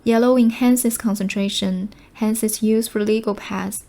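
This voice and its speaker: A woman reading short study texts aloud in a measured, formal manner. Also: mid-range voice, steady tone, mellow. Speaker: reader of practice passages.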